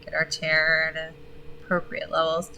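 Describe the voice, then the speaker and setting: A woman streaming a game, chatting casually with viewers and reading game text aloud; her voice is gravelly and she swears freely. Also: low-pitched, monotone, normal speed, sharp tone, a little hiss or hum, poor audio. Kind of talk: casual gaming stream commentary.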